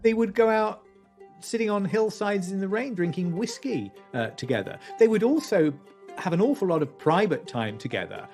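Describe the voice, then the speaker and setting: A man's voice: high voice, expressive, moderate pace, darkish tone, faint music behind. A man giving conversational commentary as an interviewee in a history documentary.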